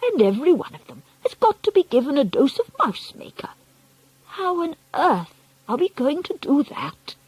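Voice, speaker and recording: A woman voicing a feisty, doting old grandmother in an audiobook story, speaking deliberately and with emphasis. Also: sits high, very animated, a little mellow, dry recording, pitched above the performer's own voice, low-quality recording. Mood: conspiratorial.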